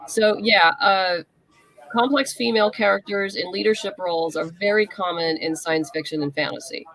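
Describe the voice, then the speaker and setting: A woman with a medium-pitched voice talks as an interview guest. Her delivery is casual and conversational, like an informal chat. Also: normal speed, fairly bright tone, rough recording.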